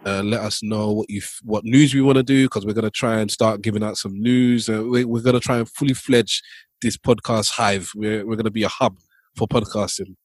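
A man's voice: on the low side, fairly even intonation, a little fast, husky, no room sound. A man co-hosting a podcast in casual chat, loose and joking, often repeating himself. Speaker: podcast co-host.

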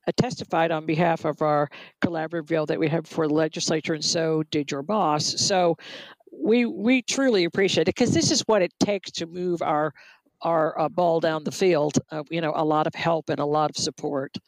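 A man's voice: a little high, fairly even intonation, normal speed, no room sound, poor audio. A man co-hosting a podcast, speaking earnestly and often repeating a word as he starts a sentence. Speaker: podcast co-host. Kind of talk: casual podcast talk.

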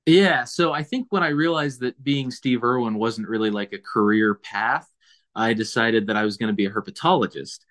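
A man's voice: medium pitch, moderate pace, fairly bright tone. A man from Indiana, a biology professor, answering interview questions in a thoughtful, rambling way with frequent restarts. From Indiana, USA. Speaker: guest biologist.

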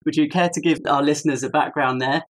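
A man hosting a podcast, introducing his guest with an enthusiastic, lively delivery before asking interview questions. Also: a little high, fast talker. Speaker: podcast host. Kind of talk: upbeat scripted intro then interview questions.

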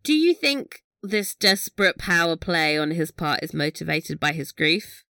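A woman's voice: deep voice, very animated, a little slow, bright, forceful tone, dry recording, clean studio sound. A woman co-hosting a podcast, chatting casually about a TV episode, laughing often and swearing offhand. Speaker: podcast co-host. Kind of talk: casual recap chat.

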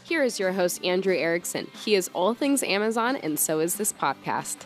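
A woman reading a scripted podcast intro in a bright, promotional manner. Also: on the low side, sing-song, moderate pace. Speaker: show announcer. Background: guitar music.

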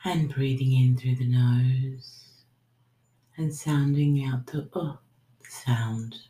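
A woman leading a guided breathing meditation, giving soothing, unhurried instructions that sometimes trail into a whisper. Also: very deep voice, steady tone, mellow. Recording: roomy, echoing sound.

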